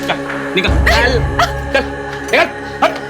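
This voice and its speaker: Pushy casting agent, bossy and rough, high voice, loud music. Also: male voice, expressive, slow, heavily acted. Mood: brusque. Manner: brusque, bossy. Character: pushy male casting middleman.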